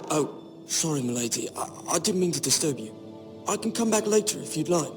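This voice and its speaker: A deferential young servant in a fantasy video game, voiced by a man. His voice sits fairly high, hesitant and apologetic yet inquisitive. It rings in a long hall reverb over faint music. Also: expressive, a little fast, lightly acted. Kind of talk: dramatic video game acting.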